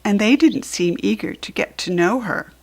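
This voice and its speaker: An American woman reading a children's picture book aloud in an expressive, deliberate teaching manner, with asides to the listener. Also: medium pitch. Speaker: storybook reader.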